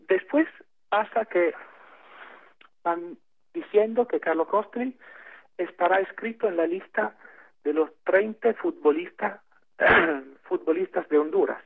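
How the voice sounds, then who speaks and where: Slow, halting man on a phone line. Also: on the high side. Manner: halting, many fillers, slow and deliberate. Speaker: interview guest on phone. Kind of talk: phone interview, explaining at length.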